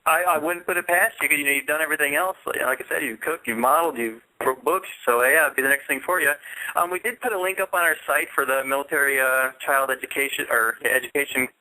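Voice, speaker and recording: American guy radio host, really bright sharp voice, talks fast, chatty rambling questions. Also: fairly high pitch, poor audio. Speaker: radio co-host, military veteran. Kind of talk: casual radio interviewing.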